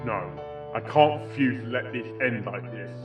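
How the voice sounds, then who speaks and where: A man's voice: medium pitch, normal speed, echoey room, over music, lightly acted. A man playing a determined male pony doctor and exorcist, commanding and dramatic, in a story reading. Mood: resolute.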